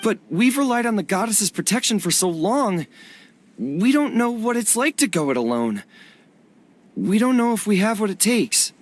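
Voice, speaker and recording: Anxious townsman, lively pitch. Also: normal speed. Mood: anxious, doubtful. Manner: hesitant, apologetic. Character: anxious, candid citizen afraid of losing protection. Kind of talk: game cutscene voice acting.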